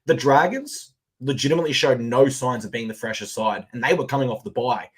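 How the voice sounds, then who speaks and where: Man, Australian accent, slightly husky, lively podcast host, energetic recap. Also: medium pitch, brisk. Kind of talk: casual sports betting podcast chat.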